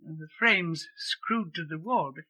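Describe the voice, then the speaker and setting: Genial old shopkeeper: high, husky voice, rambling and nostalgic, old-time radio drama. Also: male voice, slow, sharp tone, exaggerated voice. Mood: chatty, wistful. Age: older. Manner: chuckling, rambling, doddering. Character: genial, nostalgic old widowed junk-shop keeper.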